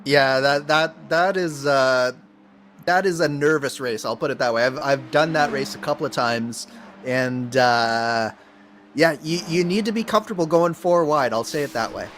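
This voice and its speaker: A man's voice: medium pitch, normal speed, a bit bright, a little hiss or hum. A man co-commentating a sim race, explaining driving in a hesitant, thoughtful way. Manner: hesitant, thoughtful, many restarts. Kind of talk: live race commentary chat.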